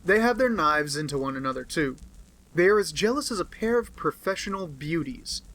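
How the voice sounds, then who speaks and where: A man's voice: on the high side, animated, brisk, fairly bright tone, low-quality recording. A man voicing an aloof, brilliant male detective in an audiobook, cool and clipped in his speech. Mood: dismissive.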